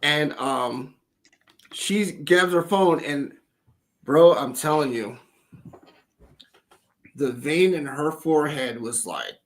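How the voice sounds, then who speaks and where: A man chatting on a livestream, with a voice pitched a little high. He tells his story loosely and with energy, and swears now and then. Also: slow, long pauses, rough recording. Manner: animated, loose storytelling with profanity. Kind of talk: casual livestream chat.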